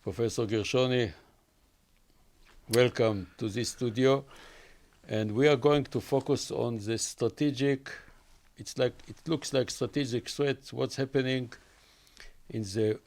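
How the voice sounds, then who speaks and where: A man's voice: mid-range voice, measured pace, pauses often, a little mellow. An older man from Israel hosting a studio interview, formally and effusively introducing his guest in simple English. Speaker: interview host.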